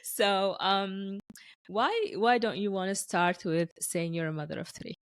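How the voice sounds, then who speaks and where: A woman's voice: medium pitch, a little slow, a little hiss or hum. A young woman hosting a podcast, Arabic her first language, chatting with many affirmations, laughs and restarts. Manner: warm encouraging interjections, laughs often, restarts. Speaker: podcast host. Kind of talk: casual conversational interview.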